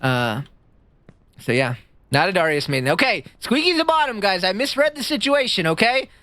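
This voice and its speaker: A man streaming live with a squeaky voice, chatting with his chat in a rambling, jokey way that jumps up high when he gets excited. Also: normal speed, dry recording. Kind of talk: casual livestream chat reading chat. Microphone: streaming mic.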